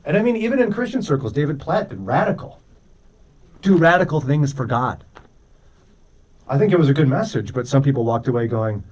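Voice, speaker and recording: A man's voice: on the high side, expressive, brisk, long pauses, a little mellow, distant mic. A man co-hosting a radio show with casual, conversational commentary, often checking in with his listener through rhetorical questions. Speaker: morning radio co-host.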